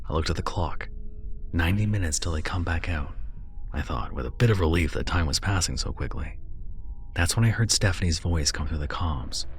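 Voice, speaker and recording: Deep low guy reading a horror story, hushed and tense, drops to near whisper. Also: a little fast, a little hiss or hum. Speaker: narrator. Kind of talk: scripted horror narration.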